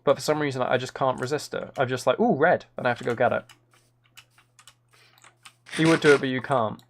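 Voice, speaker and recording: A man's voice: medium pitch, steady tone, fairly quick. A man chatting casually to viewers as a live game streamer, with a dry, joking, mock-serious manner. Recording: clear noise, keyboard and mouse clicks.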